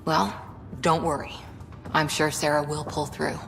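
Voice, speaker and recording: A capable, wry woman officer in a game cutscene. Her pitch is a little low. The voice has long reverb and sits over a clear music bed. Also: level tone, unhurried, fairly bright tone, heavily acted. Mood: reassuring. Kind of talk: cinematic video game acting.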